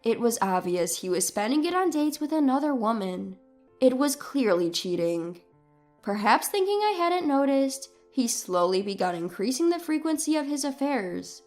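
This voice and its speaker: A woman's voice: fairly high pitch, sing-song, moderate pace, darkish tone, soft background music. A woman reading a scripted first-person dramatic story expressively and with care, as its narrator.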